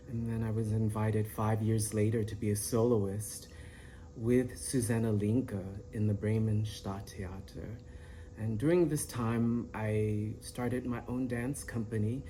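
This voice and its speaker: A man in his fifties, born in the Philippines, introducing himself as a professor and choreographer in a measured way with small restarts. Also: fairly low pitch, mellow, slight background noise, rough recording. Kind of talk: self-introduction to camera.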